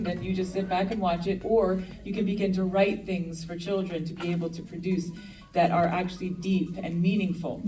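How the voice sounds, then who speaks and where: A woman with a deep, strong voice giving a dramatic reading and telling a story, swinging from quiet to big and commanding. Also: far from the mic, poor audio. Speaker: theatre director giving a reading.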